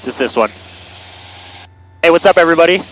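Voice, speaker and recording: A man's voice: a little high, lively intonation, a little fast, fairly bright tone, rough recording. A man chatting casually on an aviation headset, explaining and joking as he pilots a small plane. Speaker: private pilot and vlogger. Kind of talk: casual chat and radio calls while flying. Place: small airplane cockpit in flight. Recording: cockpit intercom with engine noise.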